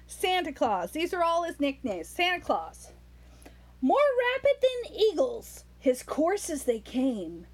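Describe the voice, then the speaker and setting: Female children's storyteller: high register, very lively intonation, unhurried, speaks straight to the kids. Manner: animated, addresses children directly with questions and drawn-out words. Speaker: children's story reader and host. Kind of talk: storytelling to young children, reading aloud with simple explanations.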